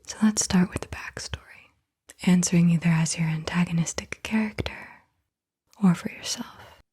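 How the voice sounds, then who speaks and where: A woman speaks in a very breathy ASMR whisper, with hardly any voiced tone. Her voice is soft and mellow, a little low. She guides the listener in short, deliberate phrases with pauses between them. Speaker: ASMR host.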